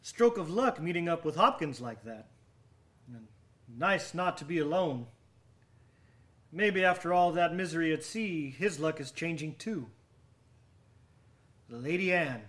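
A brooding, troubled character in a radio play. His voice sits a little high for a man. He speaks very slowly and haltingly, muttering as though to convince himself. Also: animated, fairly bright tone. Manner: halting, muttering self-reassurance. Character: uneasy, brooding man haunted by shipwreck tales. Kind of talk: radio drama acting.

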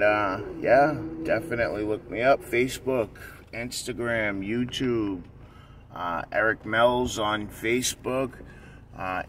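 A young man talking casually and off the cuff to his vlog audience, laid-back and pausing as he goes, on a phone held at arm's length in a car. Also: lowish voice. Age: young adult. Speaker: YouTube vlogger. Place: inside a car. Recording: distant phone mic, loud car noise.

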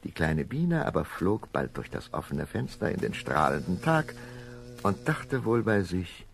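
A man narrating a children's fairy tale as an unhurried, expressive storyteller, with a deep, husky, gravelly voice. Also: a little hiss or hum, heavily acted. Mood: gentle telling.

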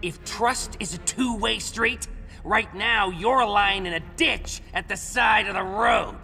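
A sharp-voiced, sarcastic game heroine. She stretches her jibes with a little fry, in long reverb over faint music. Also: medium pitch, exaggerated voice. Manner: drawn-out sarcasm. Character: sarcastic, tough, wisecracking heroine. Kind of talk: video game drama acting. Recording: reverberant hall, music behind.

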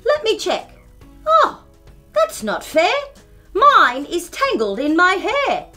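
A flustered storybook chef, played by a woman, fussing and complaining. The voice is high and animated, leaping about in exclamation. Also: slow pace, faint music behind, exaggerated voice. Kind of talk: children's storybook character voice.

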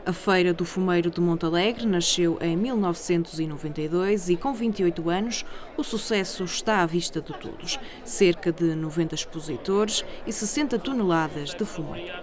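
A woman's voice: fairly low pitch, moderate pace, a crowd in the background. A woman with a European Portuguese accent reading scripted news narration as a TV reporter.

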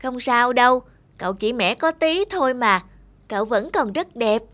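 A woman voicing a gentle, humble talking bowl in an animated fable, with an earnest manner. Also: fairly high pitch, moderate pace, no echo. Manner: soft-hearted, earnest.

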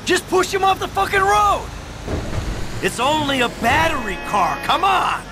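A man's voice: very high voice, big pitch swings, a little slow, over music, exaggerated voice. An actor playing a jaded middle-aged criminal and father in a video game, sardonic and exasperated. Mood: sarcastic, exasperated. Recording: loud traffic and engine noise behind.

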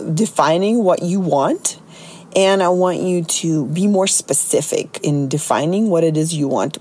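A middle-aged woman with a slightly raspy voice, talking casually and chattily as a solo podcast host and coach. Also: lowish voice, a little mellow.

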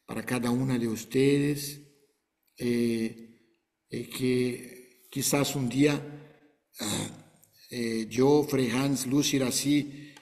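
Older man, gentle and unhurried. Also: medium pitch, fairly even intonation, big-room sound. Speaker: host. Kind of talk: speaking on a video call. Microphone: video call.

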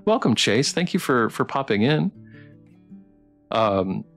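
A man's voice: mid-range voice, big pitch swings, slow pace. A man hosting a casual livestream interview, speaking hesitantly and with effusive appreciation. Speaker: livestream host interviewing game developers. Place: online voice call on a livestream. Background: guitar music playing softly.

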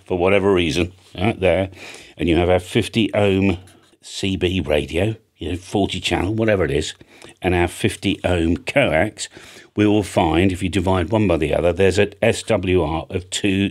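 A British man in his fifties with a husky voice gives an informal, chatty tutorial, often checking in with the listener, close on a studio microphone. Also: sits low. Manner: chatty, plain-spoken, checks in with "right?" and "okay?". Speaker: amateur radio operator and antenna maker presenting a tutorial. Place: small indoor home studio. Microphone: large studio microphone on a boom arm, close.

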